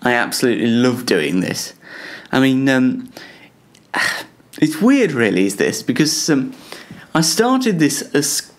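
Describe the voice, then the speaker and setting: A man chatting casually as a web show host, halting and self-deprecating as he talks. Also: mid-range voice, very animated. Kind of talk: casual self-interview chat.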